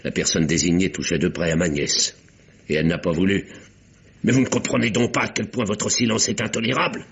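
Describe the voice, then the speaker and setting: A man's voice: low voice, fairly even intonation, a little fast, vocal fry, fairly bright tone, slightly rough, exaggerated voice. An actor playing an earnest, insistent older uncle in an old French radio drama, speaking formally and articulately as he probes. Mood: indignant. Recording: dull, noisy vintage radio recording.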